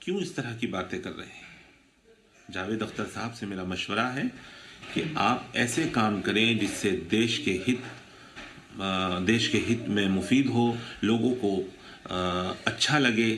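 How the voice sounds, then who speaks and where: A man giving an unscripted opinion for the news, halting and repeating himself. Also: lowish voice, fairly bright tone. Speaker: interviewee giving his opinion. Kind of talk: unscripted statement to news camera. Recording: roomy echo, faint ambient noise.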